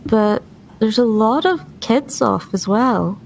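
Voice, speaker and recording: A woman's voice: on the high side, takes it slowly, gravelly, a little hiss or hum, low-quality recording. A woman playing a tabletop roleplaying game, chatting casually in character.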